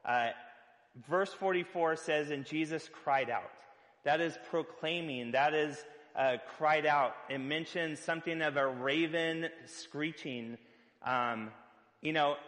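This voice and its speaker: A man preaching a sermon as pastor, loud-voiced and conversational, with filler words and playful asides. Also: a little high, in a large hall.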